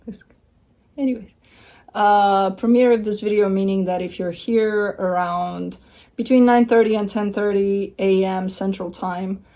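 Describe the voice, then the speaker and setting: A woman's voice: mid-range voice, fairly even intonation, unhurried, dark tone. A woman giving a casual tarot reading at home, talking in a chatty, rambling way with blunt asides. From Romania. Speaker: tarot reader. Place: home by a window with a bird feeder.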